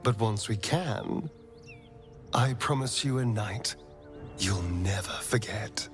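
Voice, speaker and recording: An actor playing a flirtatious, self-indulgent vampire rogue in a video game, with an arch, theatrical drawl. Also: male voice, lowish voice, slow, over music. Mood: seductive. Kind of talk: video game character acting.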